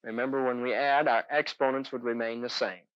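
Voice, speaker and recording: A man's voice: mid-range voice, steady tone, brisk, a bit bright, clear, resonant tone, no echo. A man lecturing as an electronics instructor in a 1970s instructional film, explaining methodically step by step. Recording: dull, dry 1970s film soundtrack.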